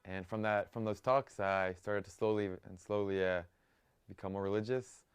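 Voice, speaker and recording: A young man from the United States telling in an interview how he became religious, speaking in a steady, plain way. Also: fairly low pitch, moderate pace, clean tone, dry recording, studio quality. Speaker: student. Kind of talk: interview answers.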